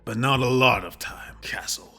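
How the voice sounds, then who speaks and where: A man's voice: mid-range voice, moderate pace, vocal fry, bright, forceful tone, faint music behind. A man playing a smug, menacing mob villain in a comic dub, with a sinister drawl. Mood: smug menace.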